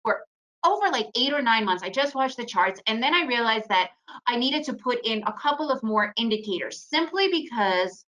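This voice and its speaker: A woman's voice: on the high side, lively intonation, moderate pace, sharp tone, rough recording. A woman in her forties, raised in the United States and a native Russian speaker, presenting a trading webinar in a chatty storytelling way. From Ukraine, raised in the United States. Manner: chatty, storytelling, addresses audience with "right?". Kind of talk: casual presentation.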